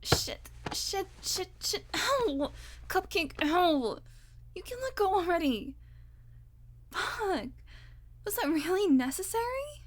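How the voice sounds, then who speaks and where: High-pitched, sardonic vampire woman, acted by a woman. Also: sing-song, takes it slowly, pauses often, a little hiss or hum, exaggerated voice. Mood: exasperated, angry. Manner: sardonic, foul-mouthed, teasing with pet names. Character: hungry, irritable, sarcastic female vampire on a road trip. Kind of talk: audio roleplay acting, one-sided dialogue.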